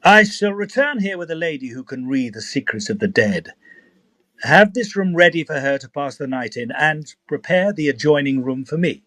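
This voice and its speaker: A man playing a brisk, sceptical gentleman ghost investigator who narrates a period audio drama with dry pomposity. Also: fairly high pitch, animated. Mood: brisk, commanding.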